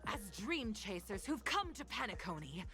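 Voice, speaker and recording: Bright, dramatic game announcer. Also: female voice, mid-range voice, sing-song, normal speed. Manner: dramatic, formal narration. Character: solemn female announcer addressing contestants with grand lore. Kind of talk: video game character acting. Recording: faint music behind voice.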